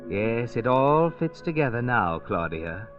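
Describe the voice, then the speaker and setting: An actor playing an all-knowing, mocking narrator in 1940s radio noir, sardonic and dramatic. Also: male voice, mid-range voice, big pitch swings, unhurried. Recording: dull lo-fi old radio recording. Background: loud dramatic music.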